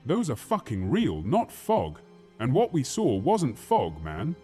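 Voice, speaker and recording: A man playing a brash, foul-mouthed, battle-hardened soldier in a fantasy audio drama, with a crude, forceful manner. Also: medium pitch, huge pitch swings, slow pace, faint music behind. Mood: bitter, angry.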